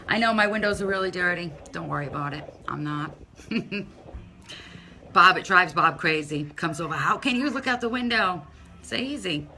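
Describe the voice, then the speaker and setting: Bright-voiced Massachusetts woman chatting loudly. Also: medium pitch, creaky, slight background noise. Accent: Massachusetts, non-rhotic. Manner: chatty, loud, talks to commenters by name. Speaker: Facebook live streamer. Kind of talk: casual live-stream chat with viewers. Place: home, by a window. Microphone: phone held at arm's length.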